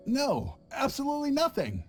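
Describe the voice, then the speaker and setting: A shifty traveling merchant who stammers and hastily covers his slips. His voice sits at an ordinary pitch but swings widely, and he speaks slowly. Game music plays clearly behind him. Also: wildly swooping pitch, exaggerated voice. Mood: flustered. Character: nervous, evasive male merchant. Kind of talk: video game voice acting.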